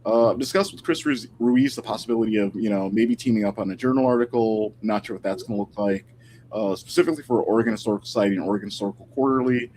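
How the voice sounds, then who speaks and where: A man's voice: on the low side, fast, a little mellow, slight background noise. A man talking casually and thoughtfully as a guest historian in an informal interview, picking his words tentatively over a video call.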